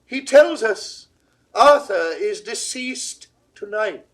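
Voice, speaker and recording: Guilty proud king, old shakespeare audio play, slow and grand, very up and down. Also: sits high, animated, fairly bright tone, full character voice. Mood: defensive, grave. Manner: stately, declamatory, drawn-out theatrical delivery. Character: proud, guilty English king, uneasy and imperious. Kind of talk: 1960s classical Shakespeare audio drama acting.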